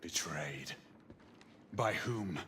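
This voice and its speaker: An actor playing a stern, suspicious Victorian knight in a video game, curt, formal and quick to anger. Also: male voice, sits low, animated, a bit bright, a little hiss or hum, full character voice. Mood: guarded. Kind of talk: dramatic video game cutscene acting.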